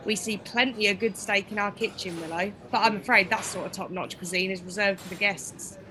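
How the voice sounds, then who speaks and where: A woman's voice: medium pitch, steady tone, a little fast, pushed and bright, close to an everyday voice. A woman playing a nosy, teasing kitchen worker in an audio drama, inquisitive and playful. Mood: dry teasing.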